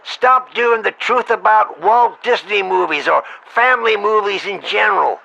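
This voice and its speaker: A man's voice: high-pitched, normal speed, vocal fry, bright, forceful tone, full character voice. A man playing an exasperated, foul-mouthed talent agent in a comedy phone-call sketch, blunt and sarcastic as he scolds his client. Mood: exasperated, dismissive. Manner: blunt, scolding, sarcastic, talking over the other.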